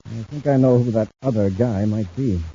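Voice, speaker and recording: An actor playing a brisk, authoritative space patrol commander in a mid-century radio serial style, with clipped delivery. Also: male voice, lowish voice, mellow, close to an everyday voice. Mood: brisk, decisive. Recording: muffled, hissy old radio broadcast.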